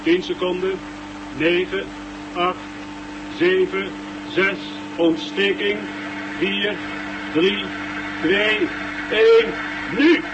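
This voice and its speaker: A tense base radio operator. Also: male voice, sits high, fairly even intonation, extremely slow, long pauses, lo-fi sound, very noisy. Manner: crisp, tense radio calls.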